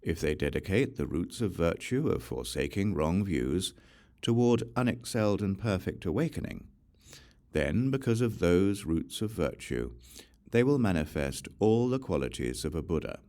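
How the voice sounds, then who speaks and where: Male audiobook reader, very deep voice, lively intonation, solemn measured delivery. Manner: slow, solemn and deliberate. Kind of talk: measured scripture reading.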